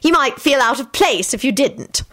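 A bossy, snippy storybook witch mother, played by a woman reader. She pitches high and swoops with indignation. The recording is dry and close. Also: lively intonation, moderate pace, a bit bright, no room sound.

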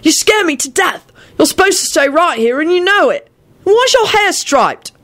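A woman's voice: sits high, sing-song, moderate pace, fairly bright tone, pitched above the performer's own voice. A woman voicing a bossy, easily exasperated boy in a storybook, scolding emphatically.

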